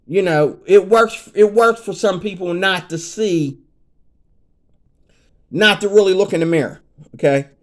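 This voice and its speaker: High-voiced man in his fifties, rambling. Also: unhurried, pauses often. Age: 50s. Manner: rambling, repeats "you know what I mean". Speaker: vlogger. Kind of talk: casual vlog rant.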